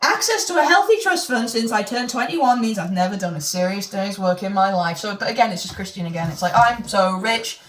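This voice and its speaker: A woman's voice: mid-range voice, lively intonation, brisk, hardly any pauses, fairly bright tone. A woman reads a novel aloud and keeps breaking off to mock it with sarcastic, sweary asides. She is recorded from a distance in an echoey room. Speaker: reader of a novel. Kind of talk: mocking read-through with banter. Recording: echoey room, mic at a distance, faint noise.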